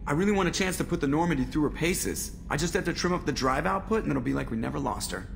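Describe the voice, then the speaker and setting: An actor playing a cocky, wisecracking male pilot in a video game, sarcastic and quick. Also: a little high, expressive, creaky, a little hiss or hum. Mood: sardonic.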